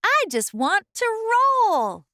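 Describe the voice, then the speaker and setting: A woman voicing a wild little male acorn in a children's storybook, cheeky, excitable and hungry for thrills. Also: very high-pitched, slow pace, no room sound, studio quality, voice pushed up, exaggerated voice. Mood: defiant. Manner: excitable, cheering, taunting.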